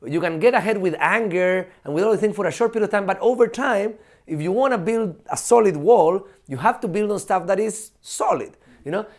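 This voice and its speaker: Animated man with a high voice. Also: a little fast. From Chile. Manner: animated, laughing, frequent fillers and swearing. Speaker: guest, professor. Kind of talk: casual podcast conversation.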